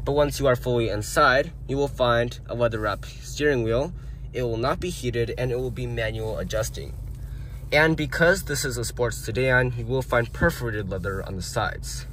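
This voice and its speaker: Male car reviewer, plain steady delivery, recorded around a parked car with light background noise. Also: medium pitch. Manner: plain, matter-of-fact listing of features. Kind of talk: walkaround car review narration.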